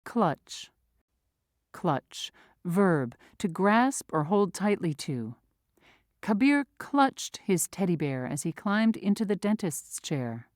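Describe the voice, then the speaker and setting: A woman's voice: lowish voice, big pitch swings, slow, no room sound, clean studio sound. A woman reading vocabulary words and their definitions aloud with careful dictionary-style enunciation. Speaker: vocabulary reader. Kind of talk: slow, clear read-aloud of word definitions.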